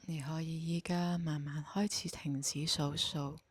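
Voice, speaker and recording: A woman with a Hong Kong Cantonese accent guides a meditation in a soft, breathy voice, speaking calmly and giving gentle instructions. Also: low-pitched, dry recording. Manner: slow, calm, gently instructing.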